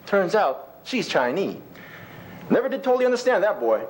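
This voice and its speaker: A man's voice: high-pitched, very animated, normal speed, poor audio. A man voicing a bigoted old rancher grandfather in a folksy drawl, reciting a dramatic monologue poem. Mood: proud, folksy. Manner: folksy drawl, exclaiming, colloquial rural speech.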